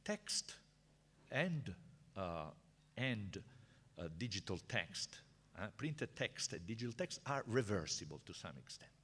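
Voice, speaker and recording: An older man with an Italian accent lectures from a desk in a university hall. His voice sits very low. He talks in a hesitant, conversational way with many fillers, and the hall's long reverb trails each phrase. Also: sing-song, a little slow, a bit bright, husky. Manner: hesitant, many uhs and restarts, chatty asides. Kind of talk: seminar lecture.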